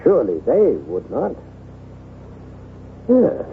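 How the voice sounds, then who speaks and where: An actor playing a lonely, self-loathing aristocratic former soldier in theatrical, formal, halting radio drama style. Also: male voice, on the high side, wildly swooping pitch, creaky, exaggerated voice. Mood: tentatively hopeful. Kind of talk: old-time radio drama acting. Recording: tinny band-limited vintage radio, hissy.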